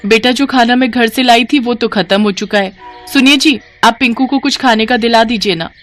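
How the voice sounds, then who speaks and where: A woman playing a poor young mother and wife, soft and polite, in a moral story. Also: fairly high pitch, moderate pace, faint music behind, voice pushed up, lightly acted. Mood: concerned.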